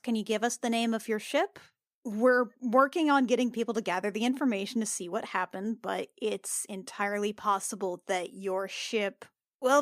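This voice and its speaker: A woman's voice: fairly high pitch, dry recording. Woman acting a hesitant, awkward celestial healer, dry sound. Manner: hesitant, halting, fumbling for words. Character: awkward, apologetic young female celestial-blooded healer.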